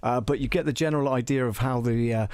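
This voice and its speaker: A man's voice: medium pitch, steady tone, fast, darkish tone, smooth, no room sound. Informal man hosting an audio tutorial. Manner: hesitant, informal, self-deprecating. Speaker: host of a music production livestream. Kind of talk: casual software tutorial, live.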